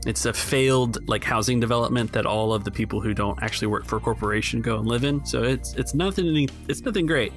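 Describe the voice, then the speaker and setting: A man narrating an improvised tabletop game as game master, halting and searching for words as he goes. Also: medium pitch, soft background music.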